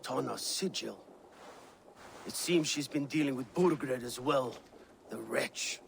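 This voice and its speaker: An actor playing a brusque, scornful Viking warlord giving commands in a video game. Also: male voice, medium pitch, takes it slowly, vocal fry, a little hiss or hum. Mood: grim, scornful. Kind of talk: dramatic video game acting.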